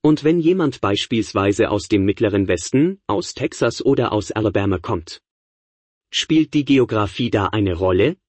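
A man's voice: on the low side, lively intonation, normal speed, darkish tone, breathy edge, no echo. A middle-aged man lecturing to college students in a conversational way, firing rhetorical questions at the class. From Niagara Falls area, New York, USA. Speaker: professor.

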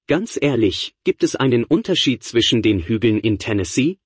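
A man's voice: mid-range voice, sing-song, moderate pace, breathy edge, dry recording. A middle-aged man lecturing to college students in a conversational way, firing rhetorical questions at the class. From Niagara Falls area, New York, USA. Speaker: professor.

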